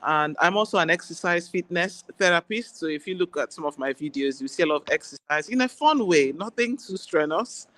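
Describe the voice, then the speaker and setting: A woman with a Nigerian accent, an Igbo speaker, talking as a guest doctor in a relaxed interview, chatty and quick to laugh. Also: lowish voice, no echo. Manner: warm, chatty, laughs easily. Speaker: guest, general practitioner. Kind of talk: casual interview chat.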